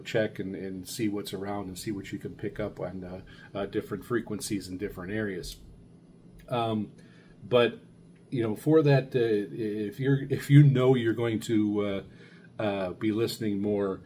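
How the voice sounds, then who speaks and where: Low-voiced man, halting, over background noise. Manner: halting, rambling, hesitant delivery. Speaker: radio hobbyist reviewer, show host. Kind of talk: casual unscripted product review. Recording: clear steady background noise.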